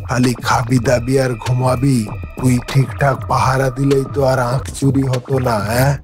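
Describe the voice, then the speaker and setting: A man's voice: mid-range voice, little pitch movement, moderate pace, music in the background, natural voice. A man voicing a bossy, angry tiger farmer in a cartoon, scolding and exclaiming. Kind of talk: cartoon voice acting.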